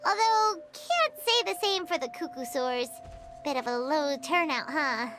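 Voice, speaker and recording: Squeaky, childlike fairy companion. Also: female voice, animated, unhurried, over music. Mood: mildly puzzled. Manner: exclamatory, speaks of herself in third person. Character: small floating fairy companion, childlike, excitable, curious. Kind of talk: video game voice acting.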